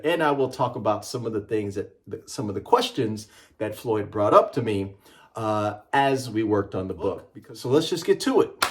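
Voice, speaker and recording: A middle-aged man, a children's author, presenting and reading a picture book aloud with an emphatic, rhythmic delivery. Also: medium pitch.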